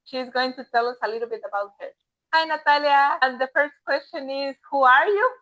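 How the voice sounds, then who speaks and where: High bright woman host asking quick questions on a video call. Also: poor audio. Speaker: interviewer. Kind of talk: interview questions.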